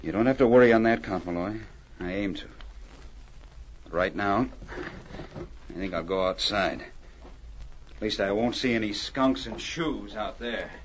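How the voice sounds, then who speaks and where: A stubborn, hot-headed frontier miner acted by a man speaks bluntly and a little low. It is old radio drama, muffled and faintly hissy. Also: measured pace, pauses often, lightly acted. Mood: defiant, scornful. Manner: blunt, folksy frontier talk. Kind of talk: 1940s radio drama acting.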